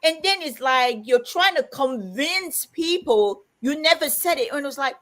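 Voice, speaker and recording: Woman on a live chat, kinda high voice, animated, laughs a lot while telling stories. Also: moderate pace. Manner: animated, laughs often, acts out quoted dialogue; reads viewer comments aloud. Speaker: live stream co-host. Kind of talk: casual chat, storytelling.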